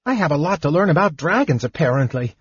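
A man voicing a small, cheeky, eager male kender in a fantasy audiobook, with a breathless, excitable delivery. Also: fairly quick, breathy edge, no room sound, lo-fi sound. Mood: rueful. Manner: high-pitched, breathless, eager. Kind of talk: dramatized audiobook fantasy narration.

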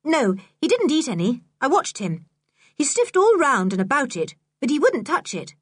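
A woman voicing a brisk, scornful, outspoken schoolboy in a children's story, frank and emphatic. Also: high-pitched, huge pitch swings, no echo, studio quality, voice pushed up.

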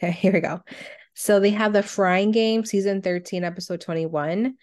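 A woman's voice: mid-range voice, fairly even intonation, moderate pace, a little mellow. A woman co-hosting a casual countdown show, reading out entries and reminiscing in a conversational way. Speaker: co-host of a cartoon countdown show.

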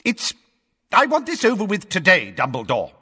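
A man voicing a pompous, blustering middle-aged official who sputters and shouts indignantly. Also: high voice, wildly swooping pitch, normal speed, husky, in a large hall, voice pushed up, heavily acted. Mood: indignant, shouting.

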